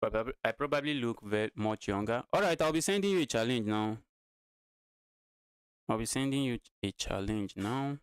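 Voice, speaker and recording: A man in his twenties chats through his online chess games in easygoing live-stream commentary. His voice is smooth and clear, recorded dry and close with little room sound. He talks straight to his viewers and says many phrases twice. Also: mid-range voice, lively intonation, moderate pace, no room sound. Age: 20s.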